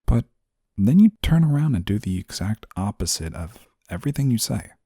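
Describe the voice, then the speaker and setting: Male actor as stern guardian angel, deep mellow voice, formal, prim, easily flustered, intimate audio drama, close dry sound. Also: big pitch swings, moderate pace, breathy edge, no echo, heavily acted. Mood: concerned reproach. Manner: formal, reserved, prim and exasperated. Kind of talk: scripted audio roleplay, intimate one-sided dialogue.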